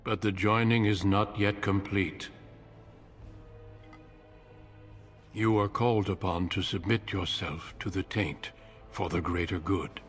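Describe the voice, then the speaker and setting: A man voicing a grave, solemn commander who speaks in measured tones. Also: low-pitched, steady tone, soft background music, lightly acted. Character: grave middle-aged male warrior commander, solemn. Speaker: game character.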